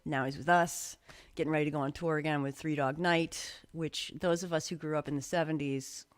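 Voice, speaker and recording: A woman's voice: low voice, steady tone, a little fast, dry recording. A woman hosting a live streamed show, chatting in an upbeat, easygoing way over a video call. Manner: chatty, upbeat, laughs easily. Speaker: host. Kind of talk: casual chat.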